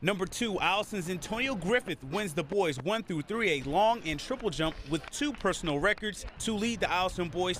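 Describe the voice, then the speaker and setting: A man reading a scripted countdown of local sports highlights as a TV sports anchor. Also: pushed and bright, a little hiss or hum. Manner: brisk countdown delivery.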